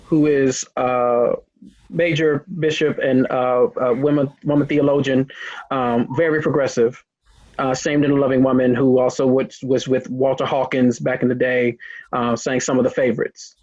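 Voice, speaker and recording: A man's voice: medium pitch, level tone, normal speed, a little mellow, dry recording. A man interviewing a guest, talking in a rambling, hesitant way with many restarts. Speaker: interviewer, host. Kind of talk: casual interview. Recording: muffled lo-fi recording.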